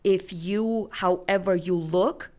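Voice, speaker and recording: A woman's voice: fairly low pitch, slow, darkish tone. A middle-aged woman who immigrated to America, hosting a podcast and asking earnest, searching questions with frequent restarts. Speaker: podcast host. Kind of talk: conversational interview.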